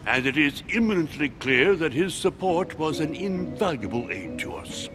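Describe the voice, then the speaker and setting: A man's voice: a little high, big pitch swings, moderate pace. An actor playing a genial, dignified American statesman, speaking in a gracious, formal, oratorical manner for a video game. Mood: gracious, jovial. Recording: music behind the voice.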